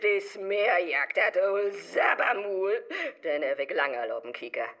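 An actress playing an excitable witch who programs computers, in a comic rhyming radio play, speaking theatrical northern Low German. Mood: scornful. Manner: theatrical, drawn-out incantations, shrieks.

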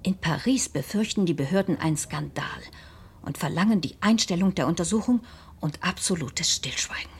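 An actress in a 1970s German radio play, playing a composed, determined lady sleuth who narrates and speaks in a measured, deliberate way. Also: fairly low pitch, expressive, breathy edge, exaggerated voice. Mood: confiding, intent.

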